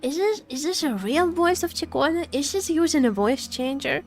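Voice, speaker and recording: A woman's voice: high-pitched, animated, moderate pace. A woman giving casual, playful game commentary to her chat, often repeating words and phrases. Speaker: game livestreamer. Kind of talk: casual reaction commentary to chat while gaming. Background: faint game music.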